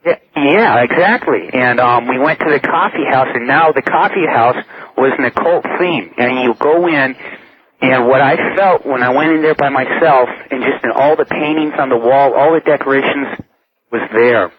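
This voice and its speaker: A man's voice: medium pitch, normal speed, slight background noise. An American man calling a radio show by phone to tell a personal ghost story. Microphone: telephone. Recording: dull lo-fi phone call to radio.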